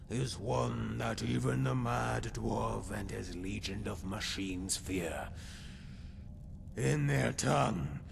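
A self-proclaimed lizard-man hero from a fantasy game. He speaks extremely slowly and pompously, relishing his own boasts. The voice is a croaking, breathy rasp, over clearly noisy game ambience. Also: on the low side, heavily acted. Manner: slow, pompous, theatrical storytelling. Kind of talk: fantasy video game character monologue.

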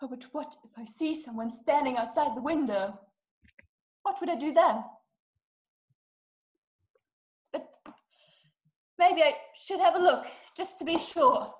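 High-voiced woman, young actress playing anxious invalid wife, haughty, dramatic, easily exasperated, radio-play acting. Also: moderate pace, lightly acted. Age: young adult. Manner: agitated, dramatic, imperious. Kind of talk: radio-play acting over video call. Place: small room indoors. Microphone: webcam video call.

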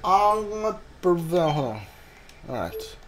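A man's voice: high-pitched, very animated, slow, fairly bright tone. A man doing casual live game commentary, talking to his chat in a hesitant, repetitive, rambling way.

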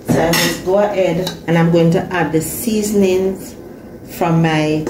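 A woman's voice: fairly low pitch, fairly even intonation, measured pace, background noise. A woman talking viewers through her cooking in a home kitchen, often repeating herself. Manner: talks to viewers while cooking, repeats phrases. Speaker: cooking channel host. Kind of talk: casual cooking narration. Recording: echoey kitchen with frying sizzle.

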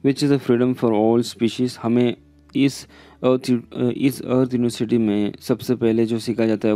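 A man who is an English lecturer, explaining a textbook chapter in Hindi. He reads the English lines slowly, then explains them in quick Hindi. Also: medium pitch, dark tone, no echo. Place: voice-over on a scrolled textbook page.

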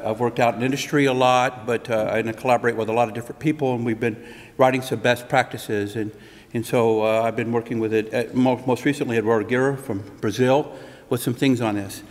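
A middle-aged man speaking at a podium in a hotel conference room, giving an interactive, conversational talk as a consultant. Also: medium pitch. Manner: conversational, restarts, laughs at own jokes. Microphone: podium mic. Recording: long hall reverb.

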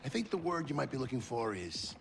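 An actor playing a smug middle-aged tech tycoon in a video game, glib and snarky. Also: male voice, medium pitch, big pitch swings, a little fast, vocal fry, heavy background noise. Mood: smug sarcasm. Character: smug, sarcastic male tech tycoon and veteran soldier.

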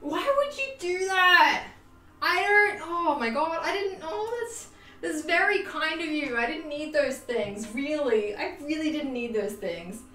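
A young Australian woman chatting to her livestream viewers, animated and self-mocking, laughing often. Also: high-pitched, measured pace. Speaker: livestream host. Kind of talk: casual livestream chat. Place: home sewing room. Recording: roomy, distant, faint music behind.

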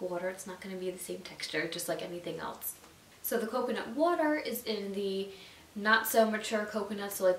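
A young woman with a slightly nasal, stuffy voice, chatting casually to camera about nutrition, full of "like" and "you know.". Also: on the low side. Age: young adult. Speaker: YouTube nutrition vlogger. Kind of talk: casual explainer talking to camera. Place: indoors at home, seated on a sofa. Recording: roomy echo, distant mic, faint hiss.

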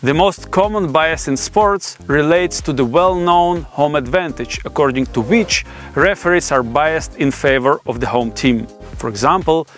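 Higher-voiced man presenting formally over music. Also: unhurried, a bit bright. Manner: formal, academic phrasing. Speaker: presenter explaining research. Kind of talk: scripted explainer narration. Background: background music.

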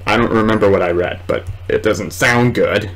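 A man's voice: on the low side, moderate pace, a bit bright. A man in his twenties doing casual let's play commentary, rambling and muttering hesitantly to himself in a room at home. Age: 20s. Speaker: gamer, video host. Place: small room at home, indoors. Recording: clearly noisy home recording.

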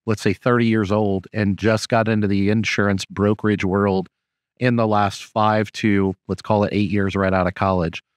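A man's voice: on the low side, flat delivery, moderate pace, dry recording. A man co-hosting a podcast asks rambling, self-correcting questions in casual talk at a studio mic. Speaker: podcast co-host.

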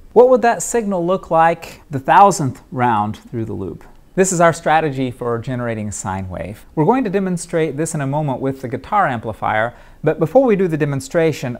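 A young man, a professor, teaching methodically in an electronics lab on a lapel mic, asking and answering his own questions. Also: a little high. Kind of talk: explaining with drawings.

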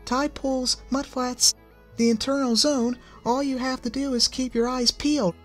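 Guy doing a shy pony teacher lady, way high and soft, kinda stammery, bit of music behind. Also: flat delivery, measured pace. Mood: gentle. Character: shy gentle female pegasus teacher.

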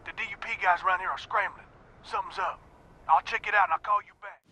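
An actor playing a rough, wily middle-aged fugitive in a video game, gruff and taunting. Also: male voice, high-pitched, sing-song, fast, pushed and bright, a little hiss or hum, exaggerated voice. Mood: brusque.

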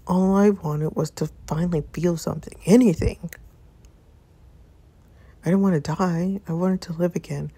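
A woman voicing a grieving young wife in first-person story narration, halting, hushed and anguished. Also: fairly low pitch, fairly even intonation, slightly rough, no room sound. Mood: numb regret. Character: grieving young wife confessing pain and regret.

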